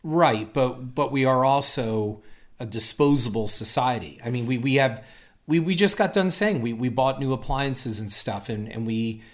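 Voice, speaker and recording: A man's voice: medium pitch, moderate pace. A middle-aged man co-hosting a casual money podcast, easygoing and mildly skeptical in banter. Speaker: podcast co-host.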